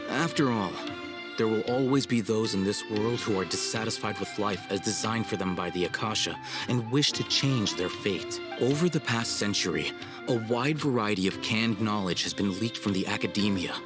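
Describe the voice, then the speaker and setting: A man voicing a cool, haughty young scholar in a video game, formal and measured. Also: fairly low pitch, natural voice. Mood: cool, explanatory. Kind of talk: video game cutscene acting. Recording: music behind the voice.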